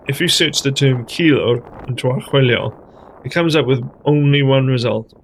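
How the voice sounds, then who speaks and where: A man reads a scripted voice-over. He explains carefully and stays tentative. His voice sits in the middle register and has a gravelly, creaky edge. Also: medium pitch, slight background noise, poor audio. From Wales. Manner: measured, explanatory, tentative about theories. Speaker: host of a history channel.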